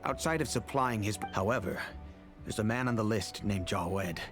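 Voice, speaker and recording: An actor playing a polite, earnest male informant in a video game, speaking in a measured, helpful way. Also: on the low side, lightly acted. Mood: earnest, informative. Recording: game music behind the voice.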